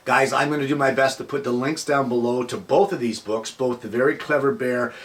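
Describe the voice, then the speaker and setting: A man's voice: mid-range voice, steady tone, fairly quick, far from the mic. A middle-aged or older man hosting his show, talking casually and earnestly to his viewers. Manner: earnest, addresses viewers as guys. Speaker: show host. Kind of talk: casual address to viewers and animated children's storybook reading.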